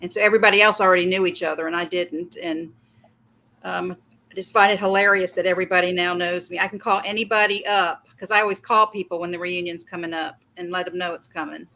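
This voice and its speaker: A middle-aged woman with a Southern American drawl tells stories in a bright, forward voice. She is chatting casually over a video call, spinning her anecdotes with easy animation. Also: fairly low pitch, steady tone, fast. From northern United States. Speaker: livestream co-host. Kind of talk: casual chat on a video stream.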